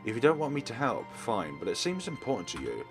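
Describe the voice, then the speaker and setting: A man's voice: medium pitch, huge pitch swings, fast talker, over music. Man playing a blunt, helpful schoolgirl. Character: blunt but helpful schoolgirl with intimidating reputation.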